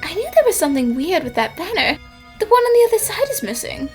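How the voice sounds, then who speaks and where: A woman's voice: very high voice, big pitch swings, quick pace, soft background music. A woman voicing a bubbly, food-obsessed young detective in a cartoon fan dub, confident, whimsical and theatrical. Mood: insistent. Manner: theatrical, exclamatory, playful. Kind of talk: anime-style fan dub acting.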